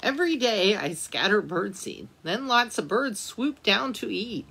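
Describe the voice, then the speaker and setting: Woman, storytime librarian, read-aloud, gentle questions, gravelly. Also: mid-range voice, animated, measured pace, rough recording. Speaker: children's librarian. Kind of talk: reading a picture book aloud for storytime.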